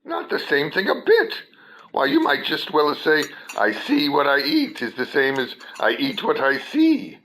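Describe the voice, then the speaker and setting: A woman playing a loud, blunt, mad hatter in a radio play. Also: sits low, wildly swooping pitch, unhurried, slight background noise, full character voice, rough recording. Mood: rude, manic. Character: rude, mad Hatter at a tea party.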